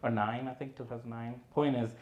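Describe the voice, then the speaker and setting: A man, a filmmaker guest on a podcast, telling his story in a relaxed way full of "you know" and "right". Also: mid-range voice, brisk, reverberant, lo-fi sound. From India. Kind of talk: conversational podcast interview.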